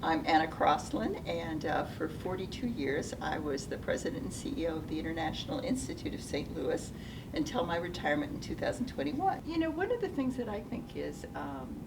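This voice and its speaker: Low, lively-voiced older woman recalling her childhood. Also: vocal fry. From United States (Seattle). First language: Japanese. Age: 70s. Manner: thoughtful, halting, with frequent restarts. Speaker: interviewee, retired nonprofit CEO. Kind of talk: reflective personal storytelling. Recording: distant mic with low rumbling noise.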